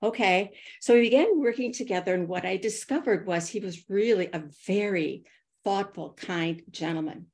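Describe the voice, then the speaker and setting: A woman's voice: mid-range voice, normal speed. Middle-aged woman telling stories on a podcast. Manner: animated storyteller who acts out quoted thoughts. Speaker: podcast guest, consultant and author. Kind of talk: personal storytelling in an interview.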